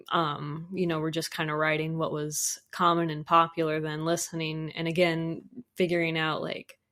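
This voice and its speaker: A woman's voice: low voice, fairly bright tone. A woman chatting casually as a podcast guest, with an enthusiastic, informal way of talking. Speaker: guest, audio drama composer. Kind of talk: casual podcast interview chat.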